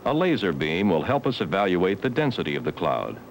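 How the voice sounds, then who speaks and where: A man narrating a scripted educational film in a measured, formal, instructional manner on a 1970s soundtrack. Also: low voice, sing-song, a little hiss or hum, poor audio. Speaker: documentary narrator.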